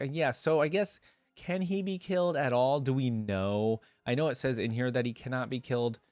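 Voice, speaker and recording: A man doing casual, informal reaction commentary, switching between reading aloud and thinking out loud in asides. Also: medium pitch, dry recording. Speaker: reaction video creator.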